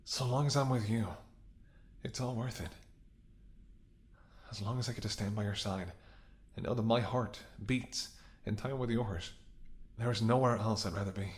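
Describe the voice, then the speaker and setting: A man's voice: fairly low pitch, lightly acted. A man acting in a romantic audio roleplay as a devoted, stubborn ex-bodyguard, speaking earnestly and intimately with emotional intensity. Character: devoted gruff ex-bodyguard, fiercely loving, stubborn and protective.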